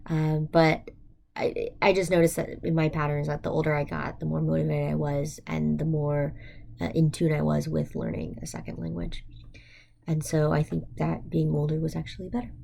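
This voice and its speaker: A woman's voice: low voice, vocal fry, dark tone. A young adult woman with an American accent, talking informally and reflectively as a student, thinking aloud with frequent hesitations and "like" fillers. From United States. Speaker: university student. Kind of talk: informal reflective monologue for a class.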